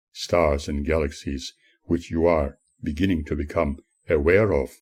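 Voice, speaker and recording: A man narrating his own spiritual audiobook as its author, in an earnest, preachy manner with measured phrasing and a husky voice. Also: low voice, mellow. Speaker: author reading own book.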